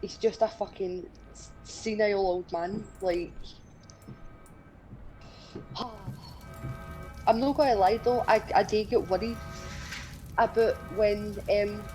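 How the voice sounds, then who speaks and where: A woman's voice: mid-range voice, slow pace, pauses often, warm tone, soft background music, poor audio. A woman in her twenties with a Scottish accent, chatting casually and bluntly as a stream co-host, swearing freely. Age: 20s. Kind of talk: casual chat during a gaming stream.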